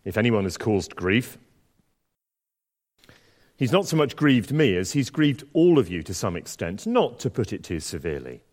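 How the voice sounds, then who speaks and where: A man with a slightly croaky voice delivers a sermon, moving from easy, self-deprecating chat to a slow scripture reading. His pitch swings widely as he talks. A long reverb from the church hangs behind him. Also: very animated, darkish tone. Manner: conversational, self-deprecating, with fillers and restarts. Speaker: preacher.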